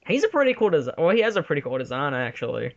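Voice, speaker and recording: A man with a distinctly high voice banters as co-host of a fan commentary. He riffs in a flippant, crude and playful way. His track sounds dull and lo-fi. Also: very animated, racing, creaky. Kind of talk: casual joking banter.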